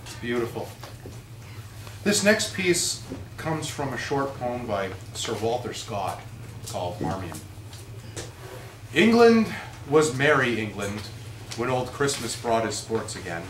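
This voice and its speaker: A man giving an expressive poetry recitation as a reader at a live event. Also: mid-range voice, slow pace, pauses often. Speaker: reader at a holiday readers theater. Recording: echoey room, distant mic, faint noise.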